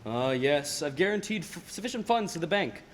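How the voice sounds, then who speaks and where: A young man acting a smug, scheming banker villain with a high, very animated voice. He is condescending and falsely helpful in radio play style, with the reverb of a large hall around him. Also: normal speed. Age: teens or young adult. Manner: smooth, condescending, theatrical.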